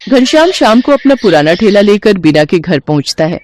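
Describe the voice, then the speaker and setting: A woman narrating a moral story in a calm, scripted storytelling manner. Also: medium pitch, moderate pace, slight background noise.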